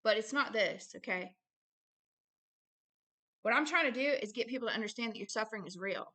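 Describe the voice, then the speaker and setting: A woman with a fairly high, sharp and forceful voice speaks as a religious host. She moves informally between reading aloud and personal commentary. Her delivery is earnest and insistent, with key phrases repeated for emphasis. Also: expressive, a little fast.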